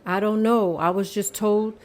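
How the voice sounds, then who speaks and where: A woman's voice: mid-range voice, steady tone, measured pace, slight background noise, lightly acted. A woman reading the part of a kind piano teacher who sends her student home. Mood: kindly.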